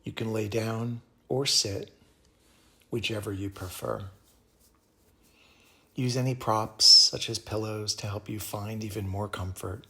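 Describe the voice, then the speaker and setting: A man leading a guided meditation as a yoga teacher, speaking calmly and soothingly in measured phrases with pauses. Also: lowish voice.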